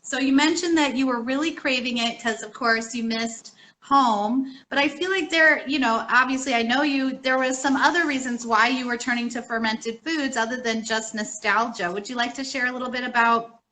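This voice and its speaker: A woman's voice: a little high, moderate pace, pushed and bright, low-quality recording. A woman hosting a casual interview, asking friendly, upbeat questions. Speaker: host.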